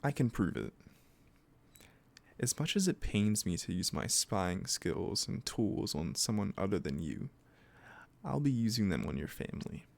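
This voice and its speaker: Man playing a gravelly, doting, obsessive kidnapper. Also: on the low side, very animated, a little mellow, exaggerated voice. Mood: scheming, reassuring. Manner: gushing, coaxing, halting asides. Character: obsessive, doting yandere kidnapper, male, sweetly menacing. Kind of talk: scripted roleplay audio, intimate one-sided dialogue.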